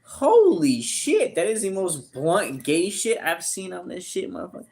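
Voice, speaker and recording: Man, early 30s, casual livestream chat, crude jokes, loud bursts. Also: high voice, wildly swooping pitch, unhurried, vocal fry, breathy edge. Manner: profane, joking, loud outbursts.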